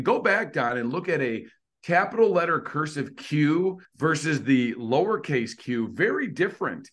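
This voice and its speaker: A man's voice: mid-range voice, fairly bright tone. A middle-aged man co-hosting a casual discussion, musing tentatively with lots of fillers. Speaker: podcast co-host.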